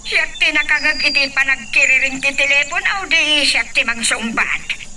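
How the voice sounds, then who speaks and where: An actress playing a perky, naive housemaid in an Ilocano radio drama. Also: female voice, high voice, moderate pace, pushed and bright. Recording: distant mic, faint insect noise.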